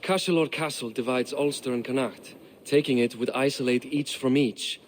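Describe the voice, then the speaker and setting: Brief: actor as a middle-pitched Viking lord, rueful and wry, dry humor. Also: male voice, normal speed, slight background noise, natural voice. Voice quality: rueful, dryly joking. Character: gruff wry Viking lord, weary father. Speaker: Viking king of Dublin. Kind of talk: video game cutscene acting.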